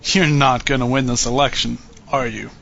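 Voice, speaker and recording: A man playing a straight-faced political field reporter in a parody news report, formal and deadpan. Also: mid-range voice, normal speed, gravelly, lo-fi sound. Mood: dry, probing.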